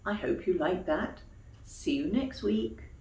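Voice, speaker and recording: Animated woman storyteller in an echoey room. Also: medium pitch, slow pace. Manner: explains words to young listeners in asides. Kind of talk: expressive children's story reading. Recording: echoey room, distant mic.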